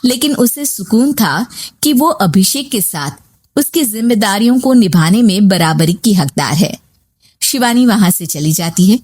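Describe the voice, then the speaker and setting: A woman's voice: medium pitch, animated, measured pace, darkish tone, husky. A woman reading scripted narration for a moral family story.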